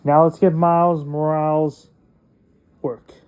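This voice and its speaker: A man narrating a toy unboxing casually in short, plain, halting phrases. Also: fairly high pitch, flat delivery, darkish tone, clear, resonant tone. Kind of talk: casual unboxing narration, reading packaging aloud.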